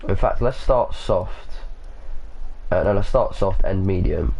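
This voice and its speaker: A young man with a croaky, sore throat, casually commentating his racing game with many ums and some swearing. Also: low voice, measured pace, darkish tone, poor audio. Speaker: gaming video host.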